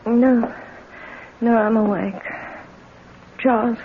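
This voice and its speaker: An actress playing a frail, drowsy, anxious wife who pleads and falters, in a 1970s radio drama. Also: female voice, a little high, steady tone, takes it slowly, narrow, tinny audio. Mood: drowsy, pleading. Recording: thin old radio recording, faint noise.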